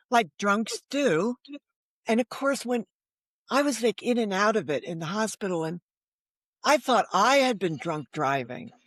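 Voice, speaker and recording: Older female guest, gravelly mid-pitched voice, candid and wry storyteller, self-mocking, conversational. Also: dry recording. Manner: frank, self-deprecating, wry; quotes dialogue while telling stories. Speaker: podcast guest, novelist. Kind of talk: candid personal storytelling in an interview.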